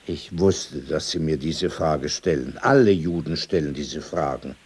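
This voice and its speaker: A man's voice: rumbling low, a little slow, a little mellow, slightly rough, full character voice. An actor playing a world-weary, prickly old man in a radio drama, addressing someone formally with probing questions and sudden turns to reminiscence. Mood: provocative, sardonic. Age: older.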